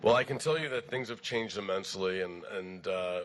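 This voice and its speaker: A man's voice: low voice, normal speed, slight background noise. A man, an attorney speaking for a client, giving polite, formal testimony at a city meeting. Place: city commission chamber.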